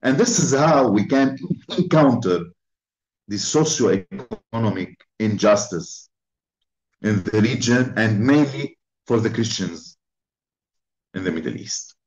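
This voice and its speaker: A man giving a formal conference talk, speaking in slow, emphatic phrases. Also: fairly low pitch, expressive, a little mellow, roomy sound, poor audio. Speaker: invited speaker.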